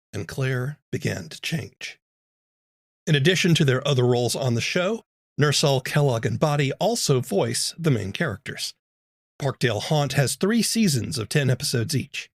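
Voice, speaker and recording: A man's voice: medium pitch, husky, dry recording, clean studio sound. A man speaking as a podcast host, in scripted narration and interview questions.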